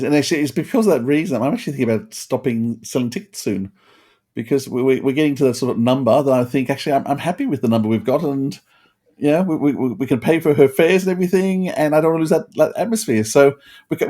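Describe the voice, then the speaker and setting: A man's voice: medium pitch, very fast, warm tone. A man co-hosting a podcast, chatting casually and often stammering over repeated words. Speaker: podcast co-host and event organiser. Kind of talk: casual chat.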